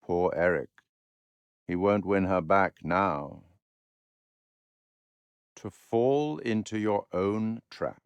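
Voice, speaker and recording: Urbane wry game narrator, the curator type, slow and formal, voice swings up and down a lot, super dry close sound. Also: male voice, deep voice, expressive, clear, resonant tone, dry recording, muffled recording, heavily acted. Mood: wry pity. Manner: measured, formal, wry, with dramatic pauses. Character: urbane, sardonic male curator narrating others' fates. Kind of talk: theatrical scripted game narration.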